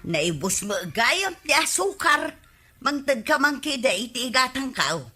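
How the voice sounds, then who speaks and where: A woman's voice: high-pitched, sing-song, measured pace, bright, forceful tone, slightly rough, heavily acted. A woman with an Ilocano accent playing a chatty, pushy, gossipy village wife in a radio comedy drama. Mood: peeved.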